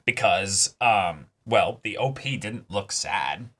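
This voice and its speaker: Male reaction host, lower pitch with a bright edge, halting casual talk, freely profane. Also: animated. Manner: halting, repeats phrases, swears freely.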